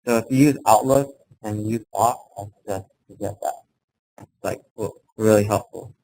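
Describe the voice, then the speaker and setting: Low-voiced man, informal tech trainer. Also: warm tone, poor audio. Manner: halting, plain-spoken explaining, often checking in with "okay" and "right". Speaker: software trainer giving a workplace tech talk. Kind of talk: informal instructional presentation. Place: indoor room, live talk to colleagues. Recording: clearly audible background room noise.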